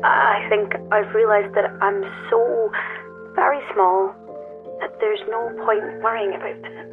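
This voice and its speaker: An actress playing a reflective, weary but serene climber in an audio drama, talking gently and hesitantly through a telephone filter. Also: female voice, medium pitch, little pitch movement, measured pace. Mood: awed, serene. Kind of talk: naturalistic audio drama acting, intimate conversation. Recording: tinny phone line, music underneath.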